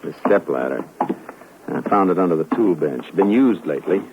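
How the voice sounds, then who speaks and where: Deep-voiced, businesslike Texas Ranger. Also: male voice, expressive, moderate pace. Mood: focused, methodical. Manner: clipped, businesslike questioning. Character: methodical, sober Texas Ranger investigating a murder. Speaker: actor in a radio crime drama. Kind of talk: 1950s radio drama acting. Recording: muffled, noisy 1950s radio recording.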